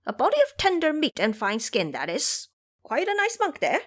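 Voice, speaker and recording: Eager imp servant, woman acting it out, high swooping pitch, excitable, fantasy audio drama. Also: moderate pace. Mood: excited, eager. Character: eager little imp servant of a demon king.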